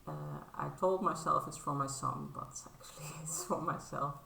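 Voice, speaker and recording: Dutch woman with a gravelly, bright voice. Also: low voice, expressive, moderate pace, roomy sound, a little hiss or hum. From Netherlands. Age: 30s. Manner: hesitant, many fillers and restarts; modest and apologetic. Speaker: crafting vlogger. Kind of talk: casual show-and-tell vlog.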